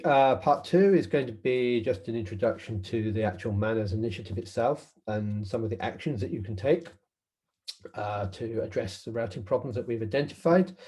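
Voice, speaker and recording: A man's voice: medium pitch, darkish tone. A man giving an informal technical presentation as a workshop presenter, explaining in a hesitant, hedging way.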